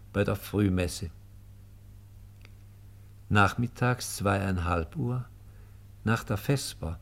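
A man narrating a scripted radio play in a solemn, measured storytelling manner. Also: fairly low pitch.

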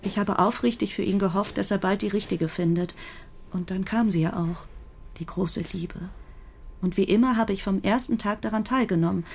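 A woman playing a grieving, protective older sister who gives an earnest, confiding statement in a German radio crime drama, with a husky voice. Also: mid-range voice, moderate pace. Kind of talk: German radio play acting, recounting testimony.

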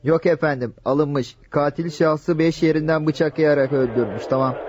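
A man's voice: a little high, normal speed, a little mellow, breathy edge, dry recording. An actor playing a businesslike patrol policeman in a radio drama, making formal, clipped reports. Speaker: police officer. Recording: dull, muffled radio drama recording.

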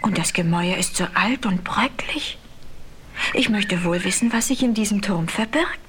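A woman's voice: medium pitch, moderate pace, close to an everyday voice. An actress playing a curious, girlish teenage princess full of theatrical wonder in a fairy-tale radio play on old vinyl. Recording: noisy old vinyl record.

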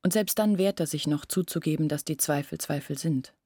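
A woman's voice: low voice, brisk, dark tone, dry recording, studio quality. An actress playing an anxious, confiding young village woman in a literary radio drama, explaining her doubts earnestly and intimately.

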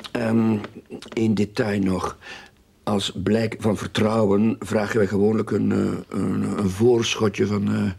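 An actor playing a doubtful junior private detective, blunt yet halting, in a radio drama. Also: male voice, on the low side, flat delivery, creaky, darkish tone, slightly rough, exaggerated voice. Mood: hesitant, polite.

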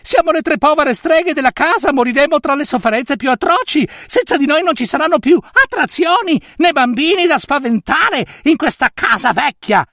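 A man voicing three wicked old witches who plead in a shrill, whining way. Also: animated, normal speed, hardly any pauses, bright, forceful tone, dry recording, studio quality, exaggerated voice.

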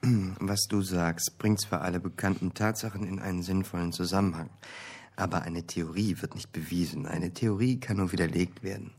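An actor playing a dry, sceptical older male investigator in a radio crime drama, with a raspy voice. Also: deep voice, fairly even intonation, normal speed, darkish tone.

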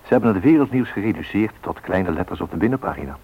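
Deep husky grave narrator. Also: male voice, expressive, fast, heavily acted. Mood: grave, wry. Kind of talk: radio drama narration. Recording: dull, muffled radio recording.

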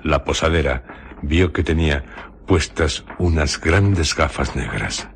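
A man narrating a radio drama in a scripted literary style, delivering it in measured, separate phrases. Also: extremely low pitch, creaky, a little hiss or hum.

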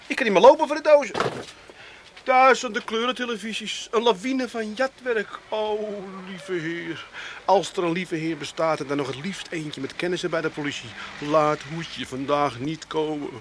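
Male actor as harried small-time crook, Amsterdam accent, fairly high voice, plaintive, evasive, stammering, comic radio play, some background noise. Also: moderate pace, close to an everyday voice. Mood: despairing. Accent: Amsterdam Dutch. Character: harried, nervously evasive Amsterdam bicycle-storage keeper, small-time fence.

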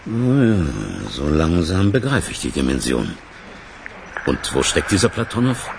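An actor playing a wry, unhurried police chief who speaks in laconic, hesitant, probing phrases in a radio drama. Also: male voice, low-pitched, sing-song, creaky, noisy background, heavily acted. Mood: pensive.

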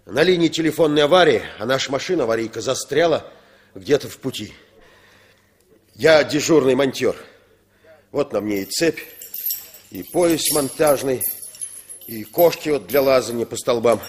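Husky, brash working man. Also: medium pitch, lively intonation, moderate pace, long pauses. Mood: brisk, businesslike. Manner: blunt, colloquial, self-important. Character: brash, pushy male telephone lineman in a hurry. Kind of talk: 1960s Soviet radio play acting.

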